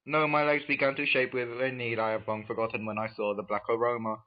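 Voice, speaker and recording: A man acts out a solemn, hesitant schoolboy with stilted delivery. His pitch is middling. The sound is a dull, lo-fi amateur recording of a fan fiction reading. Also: flat delivery, fast talker, natural voice. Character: John and other hesitant, solemn teenage schoolboys.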